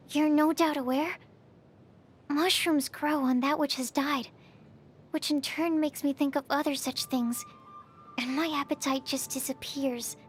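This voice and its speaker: An actress voicing a shy, bookish young female bioalchemist in a video game, soft-spoken, hesitant, polite and precise, easily flustered. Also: high voice. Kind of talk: video game character voice lines.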